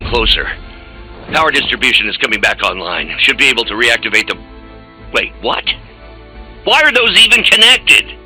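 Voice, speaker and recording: A man's voice: medium pitch, sing-song, moderate pace, long pauses, sharp tone, faint music behind. An actor playing a harried, testy male scientist in a video game, exasperated and sarcastic. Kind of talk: video game character dialogue.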